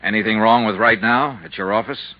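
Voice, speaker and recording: A man's voice: fairly low pitch, fairly quick, heavy background noise, natural voice. An American man playing a shrewd, cool insurance investigator in a 1950s radio detective drama, clipped and matter-of-fact. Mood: probing. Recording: dull lo-fi 1950s radio recording.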